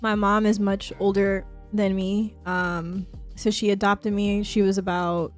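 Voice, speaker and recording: A woman speaking as a guest on a podcast, relaxed and candid in casual conversation, laughing easily. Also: mid-range voice, a little slow, soft background music.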